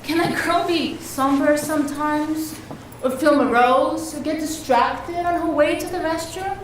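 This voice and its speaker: A woman's voice: high voice, a bit bright, heavily acted. A woman performing a stage monologue as an exasperated working woman fed up with being told to smile, indignant and emphatic in a theatrical way. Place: indoor live performance space. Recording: roomy echoing hall, distant mic, faint noise.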